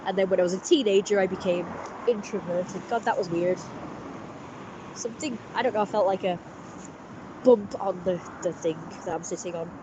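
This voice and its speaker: A woman vlogging casually with a blocked-up, congested voice. She talks in a halting, rambling way, full of restarts and fillers. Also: medium pitch, dull audio, background noise. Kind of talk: casual personal vlog talk.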